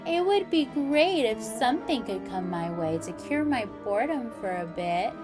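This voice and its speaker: A woman's voice: a little high, big pitch swings, moderate pace. A woman playing a bored, haughty vampire lady in a radio drama, languid and condescending. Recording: loud music behind the voice.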